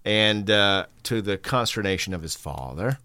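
A man's voice: fairly low pitch, animated, normal speed, pushed and bright, smooth, no echo. A man co-hosting a film podcast, talking casually with wry, profane banter.

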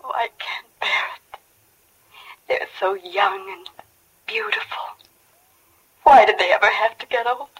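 An actress playing an anguished young wife in a 1940s radio drama, with a breathy, impassioned, tremulous delivery. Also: female voice, a little high, sing-song, unhurried, long pauses, a bit bright, full character voice, low-quality recording. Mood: pained longing. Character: young wife in anguish, revisiting her childhood after death. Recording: old 1940 radio broadcast, faint music behind.

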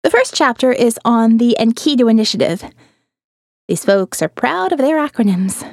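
A woman reading a first-person diary as an audio novel narrator, in an expressive, reflective and wry manner, close on the mic. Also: a little high, normal speed, vocal fry, dry recording. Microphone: close studio mic, dry.

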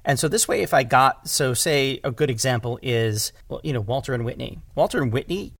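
A man's voice: mid-range voice, a little fast, fairly bright tone. A man talking casually and emphatically in an interview about his craft, restating phrases as he explains. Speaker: audio drama director and podcast guest; former stage actor.

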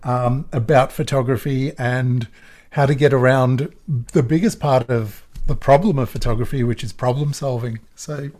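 A middle-aged man with an Australian accent and a croaky voice talks about his work. He is relaxed and chatty, often breaking into a laugh. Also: mid-range voice, moderate pace. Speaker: guest photographer. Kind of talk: casual podcast interview.

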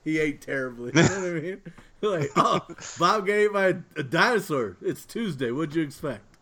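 A man's voice: a little high, expressive, normal speed, fairly bright tone. A man chatting casually as a podcast co-host, telling jokey, rambling stories. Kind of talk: casual chat.

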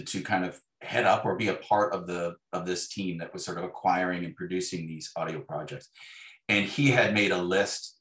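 Male author guest, lowish pitch, wry and self-effacing in casual interview talk, roomy echo. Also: fairly bright tone. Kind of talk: casual reflective interview conversation. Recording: echoey room.

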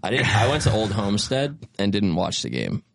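A man's voice: on the low side, big pitch swings, a little fast, gravelly, no echo. A man co-hosting a casual sports chat, with dry, rueful humor. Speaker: podcast co-host.

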